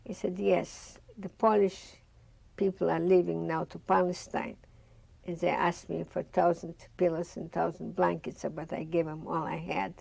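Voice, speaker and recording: Older woman, Russian accent, low gravelly voice, storytelling interview, dry close sound. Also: little pitch movement, moderate pace, darkish tone, no echo, poor audio. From Bessarabia. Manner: reflective storytelling, acts out remembered dialogue. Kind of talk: oral history interview. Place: studio with dark curtain backdrop, seated.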